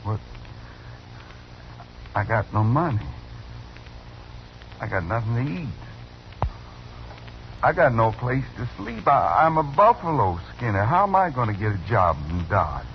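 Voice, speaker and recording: A simple-minded Old West buffalo skinner, plaintive and earnest like a lost boy. His mid-pitched voice talks in folksy radio-western style, on a dulled old recording with faint engine drone. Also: sing-song, measured pace, gravelly, pauses often, highs cut off, a little hiss or hum. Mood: bewildered, protesting.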